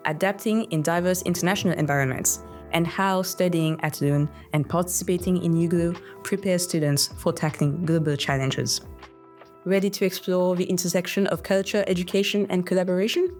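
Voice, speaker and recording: A woman's voice: fairly low pitch, darkish tone. A young woman from Mauritius hosting a podcast, reading a polished intro and then asking guests questions in an upbeat way. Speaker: podcast host.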